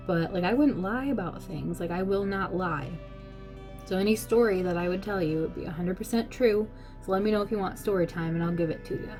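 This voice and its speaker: A young woman with a slightly low voice talks casually through a list of questions. She is chatty and laughs easily, and a little music plays softly behind her. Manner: chatty, laughs often, lots of "like". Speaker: vlogger. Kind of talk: casual tag video answering questions.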